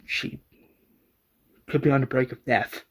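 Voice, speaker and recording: A man's voice: mid-range voice, fairly even intonation, measured pace, breathy edge. A man voicing a worried, insistent male doctor in a story, speaking plainly. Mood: worried urgency.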